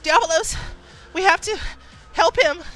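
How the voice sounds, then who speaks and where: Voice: very high-pitched, a little slow, sharp tone, faint music behind, voice pushed up, full character voice. A storyteller voicing a desperate, devoted girl with urgent, emotional pleading, as in an adventure tale. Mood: anguished.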